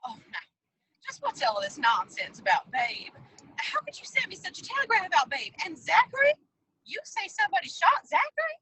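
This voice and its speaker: A woman's voice: very high voice, huge pitch swings, normal speed, bright, forceful tone, no echo, heavily acted, rough recording. A woman playing a dramatic young woman. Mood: shocked, urgent. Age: 20s. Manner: theatrical and exclamatory, fires off repeated questions. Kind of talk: reading a stage play aloud.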